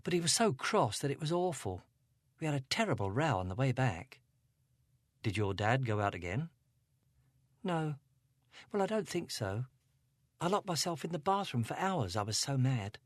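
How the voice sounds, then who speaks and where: A man's voice: medium pitch, sing-song, normal speed, pauses often, no echo, studio quality, pitched above the performer's own voice. A man doing a sullen, shaken teenage girl. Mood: upset.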